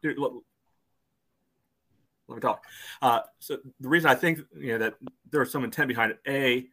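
A podcast co-host arguing a soccer call, with "you know" between nearly every phrase. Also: male voice, medium pitch, moderate pace, sharp tone. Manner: loose, fills with "you know" often. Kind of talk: casual sports debate.

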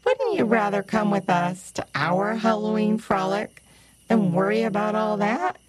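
A woman's voice: medium pitch, expressive, measured pace, slightly rough, pitched above the performer's own voice. A woman voicing a jolly, grinning male pumpkin trickster who talks in a coaxing, persuasive way. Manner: sing-song, coaxing.

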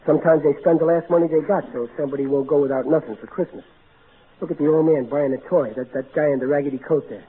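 Man playing exasperated big-hearted new joe, old radio comedy, highish voice, hissy tinny sound. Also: steady tone, quick pace, natural voice. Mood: warm, earnest. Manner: folksy, ungrammatical, earnest, blustery. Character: big-hearted, folksy, exasperated working-class everyman. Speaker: actor in a radio comedy-drama. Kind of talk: old-time American radio acting. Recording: narrow tinny vintage radio broadcast, hissy.

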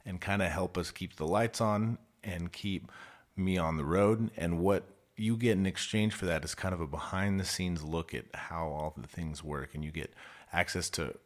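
A man hosting a podcast, talking in a relaxed, conversational way. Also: low voice, moderate pace. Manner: relaxed, conversational, rambling plug. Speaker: podcast host and musician. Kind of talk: casual podcast chat.

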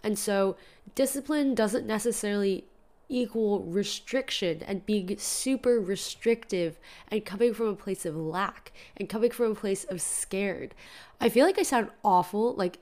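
A woman's voice: medium pitch, normal speed, a little mellow. A young woman hosting a solo podcast, talking casually with a stuffy nose and sore throat, filling her talk with "like" and "you know". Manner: chatty, lots of "like" and "you know". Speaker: podcast host.

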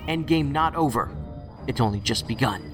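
A man acts out a reckless, stubborn federal agent in an audio drama. He sounds dry and sardonic, with insistent, clipped phrasing and a medium pitch, and music plays clearly behind him. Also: expressive, moderate pace, natural voice. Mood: urgent, intense.

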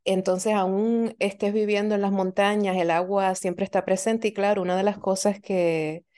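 A woman's voice: medium pitch, flat delivery, normal speed, mellow. A woman, a professor, answering interview questions in a reflective way and often ending thoughts with a questioning tag. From Puerto Rico. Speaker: guest author, professor.

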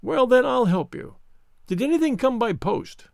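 Man putting on a gloating high-pitched villain. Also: animated, moderate pace, vocal fry, no echo, studio quality, full character voice. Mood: cruel, taunting. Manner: taunting, insistent, mock-concerned. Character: gloating, sneering, cruel villain, a smug man. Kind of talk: dramatized audiobook reading.

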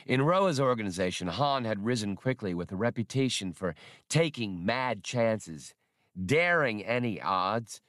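Guy narrating a sci-fi novel, lowish voice, dry close sound with no room. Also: lively intonation, measured pace, a bit bright, no echo. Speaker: audiobook narrator. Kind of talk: scripted fiction narration.

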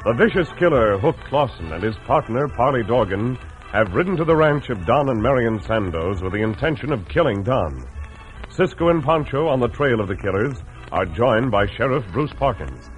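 Male American announcer for a vintage radio serial: theatrical scripted narration over soft music, dull old recording. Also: medium pitch, big pitch swings, normal speed.

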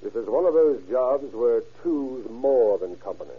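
A man's voice: mid-range voice, expressive, moderate pace, husky. An American man playing a confident, easygoing police captain in a radio crime drama, talking in a folksy, assured way. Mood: confident, jovial. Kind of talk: old-time radio crime drama acting. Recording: narrow, tinny vintage radio broadcast.

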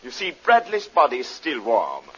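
An actor playing a suave, fussy spy villain in an old-time radio drama, speaking in a husky, pompous and precise manner. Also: male voice, medium pitch, wildly swooping pitch, moderate pace, pushed and bright, heavily acted. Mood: smooth menace. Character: suave, fussy, menacing male spy feigning indignant mourner. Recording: dull vintage radio drama recording.

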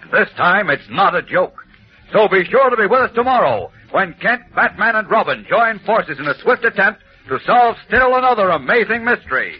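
A man's voice: on the high side, slightly rough. A man narrating a 1940s radio adventure serial in a dramatic, urgent way. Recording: dull lo-fi old radio recording with faint music.

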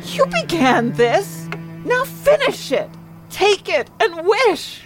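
A woman's voice: very high voice, sing-song, slow, over music, full character voice, low-quality recording. A woman playing a frantic, grieving elderly mother in a horror audio drama, with a dramatic, insistent delivery. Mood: frantic, obsessive.

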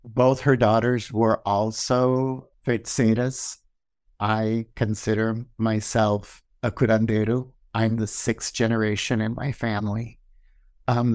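Male podcast guest from Hawaii, low and even-toned, dry close recording, relaxed storyteller. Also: dry recording. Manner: conversational, measured, with occasional ums. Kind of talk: personal storytelling.

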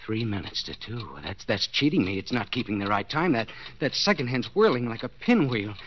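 An actor playing a desperate, jealous husband in a 1940s radio suspense play, halting and intense, repeating his words. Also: medium pitch, expressive, fairly bright tone, muffled recording, close to an everyday voice. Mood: frantic panic. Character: desperate, jealous husband, a clock repairman trapped bound in cellar. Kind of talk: 1940s radio suspense acting, inner monologue. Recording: noisy vintage 1940s radio recording.

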